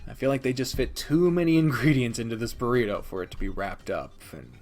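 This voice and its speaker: A man's voice: medium pitch, a little fast, a little mellow. A man giving a casual, opinionated countdown review as a video host, partly scripted, with a blunt, sweary manner. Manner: sweary, blunt, chuckling asides.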